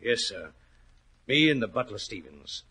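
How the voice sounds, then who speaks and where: An actor playing a worried, plain-spoken racehorse trainer in a 1940s radio drama, earnest and respectful, with rustic turns of phrase. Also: male voice, medium pitch, very animated, a little slow, bright, forceful tone, breathy edge, exaggerated voice. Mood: hushed, eerie. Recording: old 1940s radio recording.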